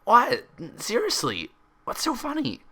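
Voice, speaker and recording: A man's voice: extremely high pitch, huge pitch swings, unhurried, bright, forceful tone, breathy edge, voice pushed up, exaggerated voice. A man voicing an earnest, anxious, easily embarrassed female unicorn pony in a story, with a fretful, questioning manner. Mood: bewildered, irritated.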